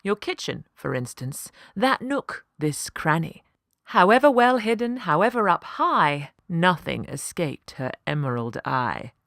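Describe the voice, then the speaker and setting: A woman reads a children's story in a slightly low voice with plenty of melodic movement. Her delivery is unhurried, rhythmic and deliberate, recorded close and dry. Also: big pitch swings, gravelly, fairly bright tone, no echo. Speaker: voice actor, storyteller. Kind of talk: expressive scripted children's story narration.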